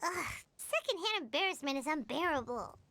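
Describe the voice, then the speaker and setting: A woman's voice: high-pitched, big pitch swings, moderate pace, pushed and bright, dry recording, heavily acted. A woman voicing a tiny, blunt, whiny girl companion in a video game. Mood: whiny disgust. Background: music.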